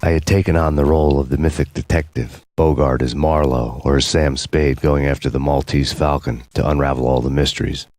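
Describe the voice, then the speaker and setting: An actor playing a grim, world-weary hard-boiled detective, narrating in a deliberate, dramatic noir style. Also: male voice, a bass voice, fairly even intonation. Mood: brooding. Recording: roomy sound under loud music.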